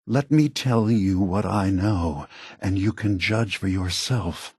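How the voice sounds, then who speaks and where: An actor playing an aging prototype android leader in a video game, a gentle, philosophical idealist with a soft, husky voice and measured, earnest phrasing. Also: male voice, deep voice, no room sound, studio quality, full character voice. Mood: patient, pleading. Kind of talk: acted video game dialogue.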